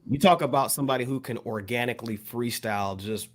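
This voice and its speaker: A man's voice: mid-range voice, fast talker. A man co-hosting a podcast, talking casually and appreciatively about hip hop, often repeating words as he goes. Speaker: podcast co-host.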